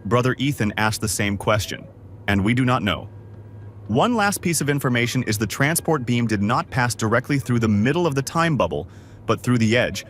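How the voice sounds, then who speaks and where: A man's voice: mid-range voice, lightly acted. A man playing a courteous, methodical crew brother in a science fiction fan audio drama. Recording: faint background noise.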